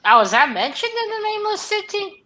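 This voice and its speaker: A woman's voice: very high voice, very animated, fast talker, pushed and bright. A woman co-hosting a casual livestream chat in a playful, jokey way. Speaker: co-host of a livestream read-along.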